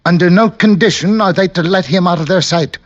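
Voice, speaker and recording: An actor playing a nervous, fussy, polite client who hesitates and stammers, in an old-time radio drama. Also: male voice, sits high, fairly quick, lightly acted. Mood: fussy, pleased. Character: timid, nervous, fussy male client, earnest. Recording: dull, lo-fi vintage radio recording.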